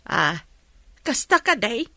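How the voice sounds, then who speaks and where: Bright, motherly older woman acted by a woman. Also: a little high, very animated, slow pace, no echo, full character voice. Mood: affectionate. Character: doting older village mother of a grown son. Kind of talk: radio drama acting.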